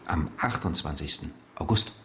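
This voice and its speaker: An actor in a German radio drama playing a returned husband, controlled, evasive and quietly menacing. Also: male voice, very deep voice, normal speed. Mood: strained, hesitant.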